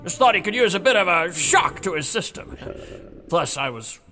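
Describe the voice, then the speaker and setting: A man's voice: high-pitched, sing-song, moderate pace, bright, forceful tone, soft background music, exaggerated voice. An actor playing a crotchety, mischievous old man in an audio drama, with a goading, folksy manner. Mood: sly, evasive. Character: crotchety, mischievous old male museum staffer, Abraxas.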